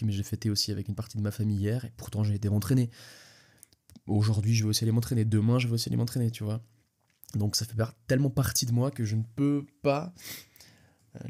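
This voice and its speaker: A man's voice: lowish voice, a little mellow. A young man with a cold, sniffling as he hosts a casual solo podcast, rambling with many restarts and speaking straight to the listener. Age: young adult. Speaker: podcast host.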